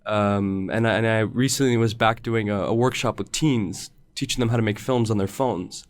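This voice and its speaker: A man who grew up in the United States, a podcast guest speaking thoughtfully in casual conversation, with halting restarts. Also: lowish voice, steady tone, no room sound.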